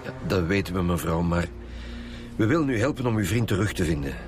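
An actor playing a gruff, impatient police commissioner in a radio drama, brusque and authoritative, with a Flemish accent. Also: male voice, low-pitched, animated, fast talker, music in the background. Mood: firm.

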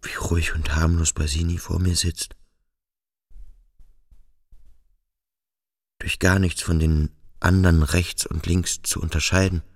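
A male actor playing a brooding, introspective schoolboy who questions himself slowly and deliberately in a literary radio drama. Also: sits low, level tone, no room sound, clean studio sound. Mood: quietly wondering. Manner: slow, deliberate, self-questioning. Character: brooding, introspective schoolboy pondering his own strangeness.

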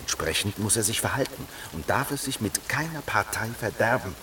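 An actor playing a calm, resolute leader of a secret heretical circle in a German radio drama, speaking with assured persuasion. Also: male voice, lowish voice, brisk, noisy background, close to an everyday voice.

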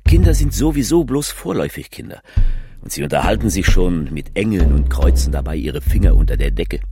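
An actor playing a brooding, fatalistic commentator, musing hesitantly in a radio drama. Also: male voice, low voice, sing-song, brisk, music in the background. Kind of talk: radio drama narration, reflective monologue.

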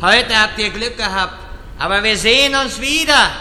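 A theatrical evil wizard gloating in a fantasy parody radio play. The voice is high and piercing, stretched out slowly, and swims in long reverb. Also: male voice, bright, forceful tone. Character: taunting, theatrical villainous male wizard. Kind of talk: parody fantasy audio drama. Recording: long reverb, faint noise.

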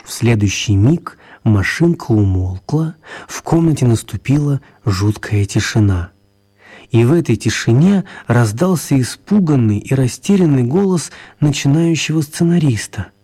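A man's voice: mid-range voice, animated, moderate pace, warm tone, breathy edge. A man narrating a children's radio play as a scripted storyteller.

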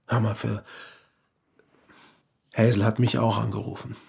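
A man's voice: on the low side, level tone, measured pace, vocal fry, a little mellow, husky, exaggerated voice. A male actor playing an uneasy, well-mannered man in a crime radio drama, talking hesitantly and earnestly. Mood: anxious, earnest. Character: David Sullivan, uneasy, well-mannered man confessing an affair.

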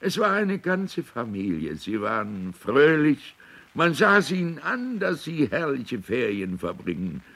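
An actor playing a plain-spoken island fisherman giving simple, deliberate witness testimony in a radio play. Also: medium pitch, very animated, gravelly, fairly bright tone, heavily acted. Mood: wistful recollection.

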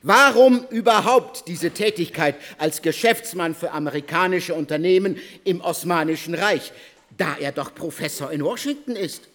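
Radio drama narrator, male, slightly high voice, theatrical emphasis, long hall reverb. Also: lively intonation, moderate pace, fairly bright tone.

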